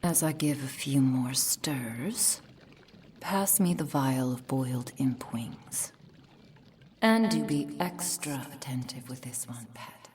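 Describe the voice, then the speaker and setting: A woman as a possessive kitsune witch, very slow. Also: very deep voice, animated, darkish tone, a little hiss or hum, full character voice. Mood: soft, coaxing. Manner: breathy whispering, formal archaic phrasing. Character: possessive kitsune witch mentor, doting then scolding. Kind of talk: roleplay ASMR acting.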